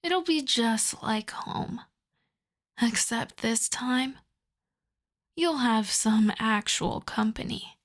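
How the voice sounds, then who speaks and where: A woman's voice: fairly high pitch, slow, long pauses. A woman playing a possessive, seductive female vampire in an ASMR roleplay, speaking intimately and coaxingly with a smug, commanding streak and slipping into whispers. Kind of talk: ASMR roleplay audio drama.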